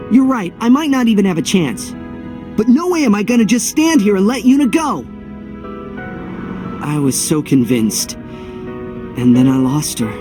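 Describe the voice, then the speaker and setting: An actor playing an earnest young hero in a game cutscene, reflective and heartfelt, with dramatic pauses. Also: male voice, high-pitched, mellow. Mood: defiant. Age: young adult. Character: earnest young male hero, determined and wistful. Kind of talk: scripted game cutscene acting.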